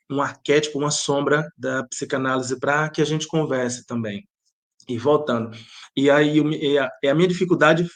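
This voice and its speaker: A man from Bahia with an accent mixing Bahian and European Portuguese, a playwright and director thoughtfully answering interview questions, often restarting his sentences. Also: medium pitch. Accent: Bahian Portuguese mixed with European Portuguese. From Bahia, Brazil. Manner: thoughtful, searching for words with many restarts.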